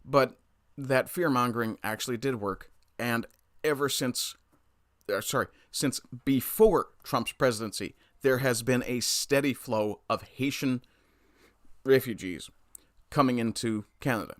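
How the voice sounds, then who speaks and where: A man's voice: medium pitch, a little slow, dry recording, clean studio sound. A man hosting a casual livestream, bluntly commenting on news and reading chat, often swearing as he rants. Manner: blunt, profane, ranting.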